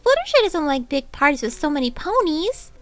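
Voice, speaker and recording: A woman voicing an excitable young female cartoon pony, dramatic and gushing. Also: high-pitched, a little fast, soft background music, pitched above the performer's own voice. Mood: earnest.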